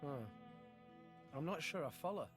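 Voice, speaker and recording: A man's voice: a little high, lively intonation, measured pace. Earnest teenage boy hero over music. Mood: curious. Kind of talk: video game cutscene voice acting. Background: orchestral music.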